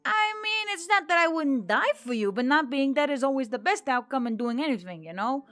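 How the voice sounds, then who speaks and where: Fantasy audiobook centaur, played by a woman: loyal female friend, higher register, rambling and teasing. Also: animated, brisk, a bit bright, dry recording. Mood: nervous rambling. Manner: chatty, rambling.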